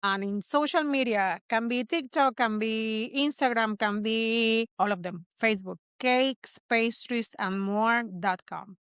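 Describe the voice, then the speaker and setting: A woman's voice: fairly high pitch, a little slow, few pauses, fairly bright tone, clear, resonant tone, dry recording, studio quality. A middle-aged bakery owner from Puerto Rico, a woman who speaks English as a second language with a Puerto Rican Spanish accent. She answers interview questions earnestly, often repeating words and restarting.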